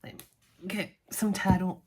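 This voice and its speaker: A woman's voice: medium pitch, level tone, moderate pace, a little mellow, slight background noise. A middle-aged woman doing a solo tarot reading to camera, chatty and self-deprecating, laughing often between cards. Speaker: tarot reader.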